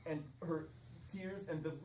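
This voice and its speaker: Low-voiced woman improvising narration, echoey. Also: fairly even intonation, dark tone. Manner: halting, searching for words. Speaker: improv comedy performer narrating. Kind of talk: improvised audio drama narration. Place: theatre stage. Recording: echoey stage, distant mic, noisy.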